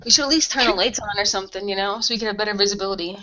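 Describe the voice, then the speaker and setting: A woman's voice: medium pitch, rapid-fire, pushed and bright, dull audio. A woman improvising casual, slangy, sarcastic banter as a player in a radio role play.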